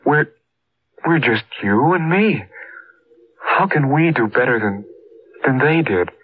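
An actor playing a weary, doubtful ordinary man in a 1940s radio drama, speaking haltingly. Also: medium pitch, lively intonation, breathy edge. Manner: hesitant, halting, subdued. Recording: tinny, noisy 1940s radio recording.

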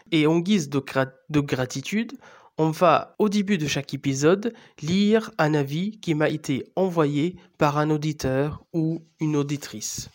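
A man in his thirties, a native Arabic speaker, hosting a podcast with measured, scripted narration. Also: fairly high pitch, a little mellow. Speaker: podcast host and Arabic teacher.

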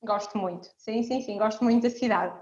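A woman's voice: on the high side, brisk, darkish tone, highs cut off. A young woman from Ukraine, talking reflectively as an interview guest. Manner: reflective, speaks in short clauses with frequent "não é?". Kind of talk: casual interview conversation.

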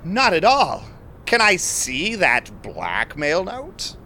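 Man acting smooth scheming vampire, high bright voice. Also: huge pitch swings, takes it slowly, a little hiss or hum, full character voice. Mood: reassuring, businesslike. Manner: sly, self-assured storyteller. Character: smooth, scheming male vampire businessman with casino ambitions. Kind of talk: audio drama acting and first-person narration.

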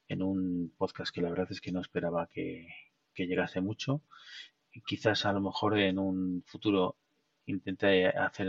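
Low-voiced Castilian Spanish man, gravelly. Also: no room sound. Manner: halting, often repeating words. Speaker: podcast host. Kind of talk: informal solo podcast monologue. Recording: dull, muffled sound, distant mic.

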